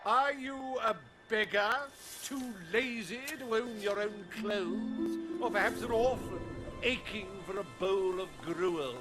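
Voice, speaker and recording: An actor voicing a foppish, greedy, scheming industrialist in a video game, pompous and drawling, with oily contempt for the poor. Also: male voice, sits high, slow pace, hardly any pauses, big-room sound, music in the background. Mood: condescending. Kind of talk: theatrical video game dialogue.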